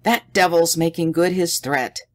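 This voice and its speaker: A reader voicing an anxious, excited young man. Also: mid-range voice, unhurried, no echo, natural voice.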